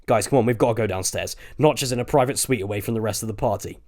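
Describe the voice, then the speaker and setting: A man's voice: lowish voice, quick pace, darkish tone, lightly acted. A man voicing a bossy, impatient British teenage boy with a blunt way of talking. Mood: brisk, firm.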